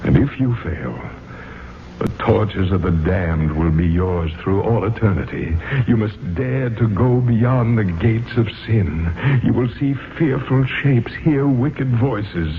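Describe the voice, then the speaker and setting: An actor playing an anguished, doomed, centuries-old male ghost in a solemn, drawn-out 1970s radio drama style. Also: on the low side, lively intonation, a little hiss or hum. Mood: solemn, foreboding. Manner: theatrical, solemn, drawn-out delivery. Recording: dull 1970s radio broadcast, music behind.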